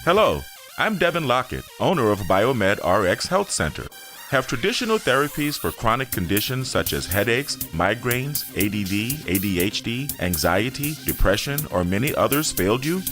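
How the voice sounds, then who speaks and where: A man's voice: mid-range voice, sing-song, moderate pace, fairly bright tone. A man delivers a scripted radio commercial as the business owner. His reading is fluent and presentational. Faint music is present. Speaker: business owner voicing own advert. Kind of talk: scripted radio advert read.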